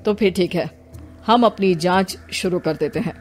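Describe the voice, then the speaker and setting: A woman's voice: on the low side, moderate pace, darkish tone, natural voice. A woman takes the part of a senior police officer in a cartoon tale. He sounds methodical and official, putting questions with authority over background music. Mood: official, firm. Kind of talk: Hindi animated moral story dialogue.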